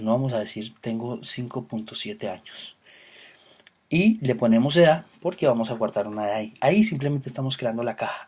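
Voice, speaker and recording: A man teaching an introductory programming course. His voice is husky and a little rough at the edges, at a middle pitch for a man. He explains step by step, with filler sounds and quick self-corrections. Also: darkish tone. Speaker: programming instructor. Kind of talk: recorded lecture.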